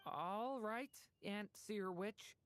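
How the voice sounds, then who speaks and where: A woman's voice: mid-range voice, very slow, a little hiss or hum. A woman voicing an earnest, courteous young alchemist in a video game, restrained and respectful to elders. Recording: loud music behind the voice. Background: music.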